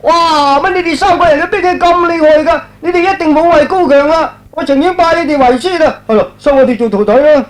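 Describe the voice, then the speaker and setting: A man voicing a brash, excitable young prince in a Cantonese radio drama, cocky and eager. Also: extremely high pitch, a little fast, few pauses, pitched above the performer's own voice, poor audio. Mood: eager, admiring.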